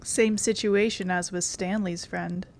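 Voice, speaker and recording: A woman's voice: fairly low pitch, steady tone, measured pace. Woman gamer with an offhand, wry delivery. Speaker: gamer playing and commenting on a game. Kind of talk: casual let's play commentary.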